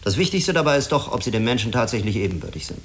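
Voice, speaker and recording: A man's voice: mid-range voice, very animated, fast, natural voice. A man playing a blunt, wary spaceship commander in a German radio drama, direct and reserved in his manner. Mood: skeptical.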